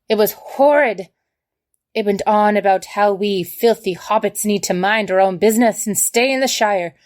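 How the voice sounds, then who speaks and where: A woman's voice: medium pitch, darkish tone, natural voice. A woman playing a fussy, outspoken hobbit who huffily tells her tale in a letter, in an audio drama. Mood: indignant, scandalized. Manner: huffy, prim, chatty storytelling.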